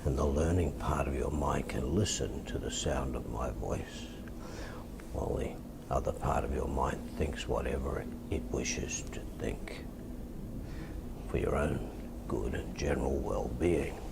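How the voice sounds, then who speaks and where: Deep aussie guy doing hypnosis instruction, calm n deliberate, repeats stuff, noisy recording. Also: gravelly. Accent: Australian. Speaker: hypnotist teaching and demonstrating. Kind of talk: hypnosis instruction and induction, softly spoken.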